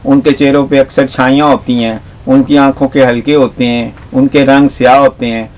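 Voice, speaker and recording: Male health advisor, earnest list-driven delivery, faint music underneath. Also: mid-range voice. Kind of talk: instructive health talk to camera.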